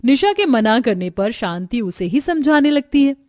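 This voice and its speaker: A woman's voice: on the high side, sing-song, moderate pace, a little mellow, no echo. A woman storyteller reading scripted narration for a cartoon family story.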